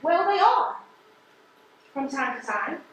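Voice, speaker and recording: Defensive loyal supervisor, very high pitch, halting, earnest, echoey stage play. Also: female voice, expressive, fairly bright tone, heavily acted. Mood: guarded. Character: defensive, loyal postal supervisor under interrogation. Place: theater stage. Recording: very echoey, distant, noisy stage recording.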